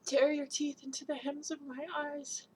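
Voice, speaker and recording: Incantatory woman, high voice. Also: flat delivery, normal speed, slight background noise. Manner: incantatory, chanting long repeated lists; swings between hushed whispers and loud cries. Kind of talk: dramatic spoken-word performance.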